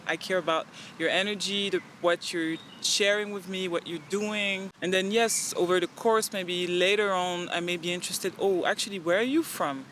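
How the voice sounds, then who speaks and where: A woman's voice: lowish voice, moderate pace, few pauses, slight background noise. A young woman from Central African Republic, a native French speaker with a French accent, talking earnestly in a casual interview and acting out bits of dialogue. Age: young adult. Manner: earnest, animated, acts out quoted dialogue. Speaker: interview guest.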